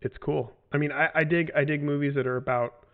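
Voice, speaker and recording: Rambling man with a gravelly, tinny sound. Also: on the high side, fairly even intonation, a little fast. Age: 40s. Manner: rambling, hesitant with many fillers and restarts. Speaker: game developer answering fan questions. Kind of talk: casual livestream Q&A. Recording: tinny phone-like audio.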